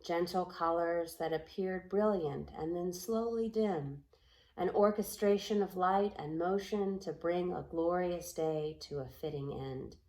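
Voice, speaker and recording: A woman reads a storybook aloud in a fairly low voice. She takes her time over each phrase, very slowly and deliberately, giving the poetic lines an expressive, recited shape. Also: poor audio. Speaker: storybook reader. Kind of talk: storybook read-aloud in rhyming, poetic prose.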